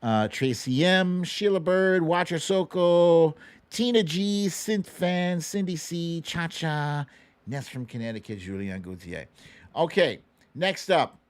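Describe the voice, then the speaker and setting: Guy hosting a live online quiz, playful n chatty, unhurried, pretty dry sound. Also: on the high side, animated, no echo. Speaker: host of a live online show. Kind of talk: casual livestream quiz banter, reading out viewers' names.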